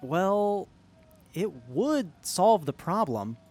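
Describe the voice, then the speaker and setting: A man's voice: high-pitched, big pitch swings, extremely slow, very noisy, full character voice. A man playing an earnest, cautious young nerd in an audio drama, pedantic and a little hesitant. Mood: earnest reasoning.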